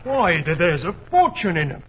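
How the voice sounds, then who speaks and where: A man's voice: on the high side, sing-song, moderate pace, a bit bright, dull audio. A man playing a stubborn, dim village constable, blustering and scoffing in colloquial speech, in 1940s radio drama style. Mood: astonished. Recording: noisy vintage 1940s radio recording.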